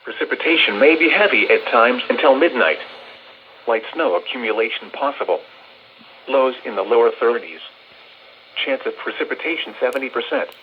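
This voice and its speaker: A man's voice: mid-range voice, animated, lo-fi sound. A synthetic male voice reading a formal, impersonal weather forecast through a weather radio speaker. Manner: measured, impersonal bulletin reading. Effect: played through a weather radio speaker. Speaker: automated weather radio announcer. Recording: noisy small weather radio speaker, room noise.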